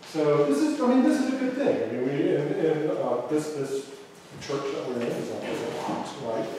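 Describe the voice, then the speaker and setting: A man's voice: fairly high pitch, big pitch swings, normal speed, warm tone, slight background noise. A man in his thirties with a big voice and an Atlanta accent, giving a casual talk to an audience and asking them rhetorical questions. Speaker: guest speaker. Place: small hall used for church meetings, indoors. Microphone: far camera, room audio. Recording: echoey hall from far off.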